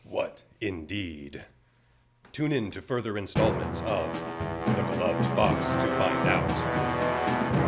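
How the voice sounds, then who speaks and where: A man giving dramatic scripted narration as the announcer of an old-time pulp radio drama. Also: low-pitched. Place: studio live performance. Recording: loud music under the voice.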